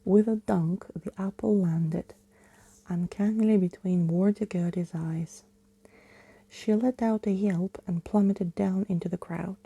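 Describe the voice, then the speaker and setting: A woman storyteller reads in ASMR style, unhurried and close to the mic. Her voice is very dark and soft with a creaky, breathy grain, often sinking into a whisper. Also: mid-range voice, steady tone, dry recording. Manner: hushed, often slipping into whisper. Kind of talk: ASMR bedtime story reading.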